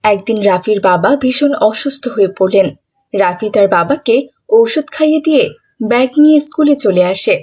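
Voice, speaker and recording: A woman with a husky voice narrating a scripted children's story. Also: medium pitch, lively intonation, moderate pace, a little mellow.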